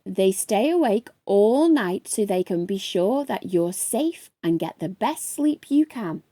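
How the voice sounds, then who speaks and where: A woman voicing a kindly, reassuring father in a children's storybook, explaining things gently and patiently. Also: medium pitch, lively intonation, slow pace, no echo.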